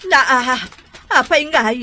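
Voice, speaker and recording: A woman's voice: sits high, takes it slowly, sharp tone, a little hiss or hum, exaggerated voice. A woman playing a fussing, nagging older wife and mother in an Ilocano radio drama. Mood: anxious, bossy. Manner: nagging, exclamatory.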